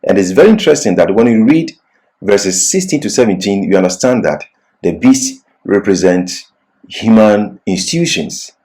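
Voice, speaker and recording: A man with a fairly low voice delivers an expository scripture lesson. His intonation is highly animated, rising and falling widely as he explains in a didactic way and puts weight on key words. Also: normal speed. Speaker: Bible teacher. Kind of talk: expository Bible lecture.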